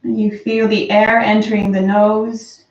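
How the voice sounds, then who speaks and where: A woman slowly and softly guiding a breathing meditation, calm with long pauses. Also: mid-range voice. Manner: slow, soft, calm guidance with long pauses. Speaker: meditation teacher. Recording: roomy, distant, dull lo-fi sound.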